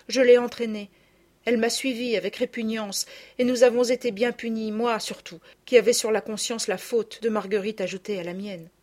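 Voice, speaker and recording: A woman's voice: fairly high pitch, a little mellow, pitched above the performer's own voice, close to an everyday voice. A woman voicing a humble, repentant young girl in an audiobook, speaking earnestly. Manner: earnest, contrite pleading.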